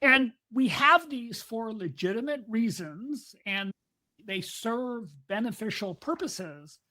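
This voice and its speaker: A woman's voice: on the high side, animated, takes it slowly, pushed and bright. A woman co-hosting a podcast in casual discussion, explaining ideas at length and drifting into side topics. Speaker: podcast co-host.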